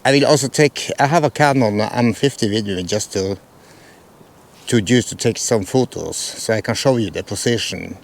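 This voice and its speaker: A man's voice: on the low side, moderate pace, creaky. A man from Norway speaking English with a Norwegian accent, explaining photo planning in a casual vlog, hesitant with many fillers and restarts. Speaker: photography vlogger.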